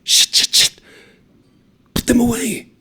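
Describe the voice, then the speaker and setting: A man's voice: slow pace, pushed and bright. A man voicing a panicked young male road worker who hisses and demands in urgent bursts. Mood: panicky. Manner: hissing, urgent, frantic.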